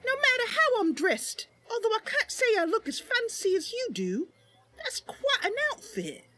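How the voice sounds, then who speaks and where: A naive young wood elf adventurer played by a woman speaks very high and lively. She is chirpy and earnest, stumbling eagerly through her words in a fantasy game roleplay. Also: normal speed, no room sound, faint music behind, heavily acted. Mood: excited.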